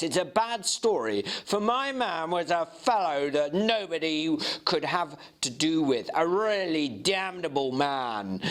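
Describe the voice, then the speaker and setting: A man playing a worldly Victorian gentleman who recounts a shocking incident with vivid emphasis, in an audiobook. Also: fairly high pitch. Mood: indignant. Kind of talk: dramatic audiobook reading.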